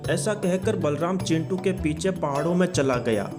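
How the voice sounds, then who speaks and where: A man narrating a children's cartoon story in a steady storytelling manner. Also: a little high, normal speed, over music.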